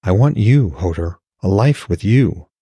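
A man voicing a gentle, earnest young woman in love in a fantasy audiobook, softly questioning and frank. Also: low voice, animated, measured pace, slightly rough, no room sound, heavily acted. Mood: tender, resolute.

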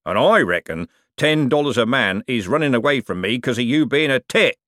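Audiobook role: male reader as a sharp, gruff coachman, sweary and bossy, pitched above plain narration. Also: animated, moderate pace, dry recording, clean studio sound, lightly acted. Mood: knowing, brisk. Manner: blunt, sweary, slangy.

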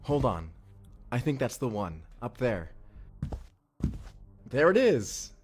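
A man's voice: medium pitch, big pitch swings, slow. A man playing an awkward, friendly, evasive young man in an immersive audio drama, chatty and hesitant, often joking. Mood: eager. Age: young adult. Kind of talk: immersive first-person audio roleplay. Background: music.

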